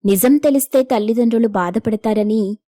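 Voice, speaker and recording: A woman's voice: a little high, normal speed, warm tone, no room sound, studio quality. A woman reading scripted narration for a moral story as its narrator.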